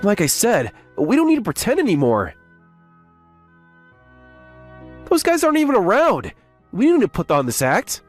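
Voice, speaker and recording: Manga recap lead, male actor: modest young office worker, somewhat high voice, very animated, earnest. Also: a little fast, soft background music, heavily acted. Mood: flustered. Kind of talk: scripted first-person manga recap narration and dialogue.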